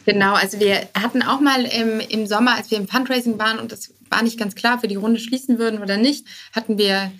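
A woman's voice: on the high side, steady tone, a little fast, hardly any pauses, fairly bright tone. A woman speaking as a podcast guest and startup co-founder, answering informally with hesitant phrasing and English business jargon. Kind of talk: conversational interview answers.

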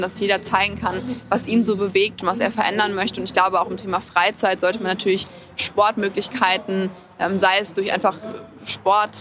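This eighteen-year-old woman talks quickly and fluently, like someone used to speaking for a group. She answers interview questions as a student representative, in full and composed sentences. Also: medium pitch. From Germany. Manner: articulate, quick, speechlike. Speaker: deputy city student representative. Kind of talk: event interview.

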